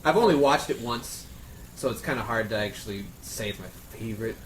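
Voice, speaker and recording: A man on a podcast panel bantering casually with friends in a jokey, animated, sweary way. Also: mid-range voice, brisk, a little hiss or hum. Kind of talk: casual round-table banter among friends. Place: indoor room, group around a table. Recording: echoey room, loud chatter of others behind.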